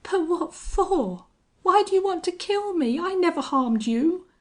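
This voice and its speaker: A woman voicing a cowardly, blustering young man in a western audiobook, excitable and prone to stammering. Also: mid-range voice, unhurried, vocal fry, breathy edge, full character voice. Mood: frightened pleading. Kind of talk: audiobook character acting.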